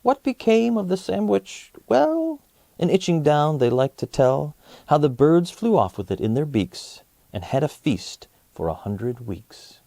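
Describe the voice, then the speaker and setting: A man hosting a children's story channel, reading aloud in an expressive, rhythmic storytelling style. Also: a little high, gravelly, no room sound.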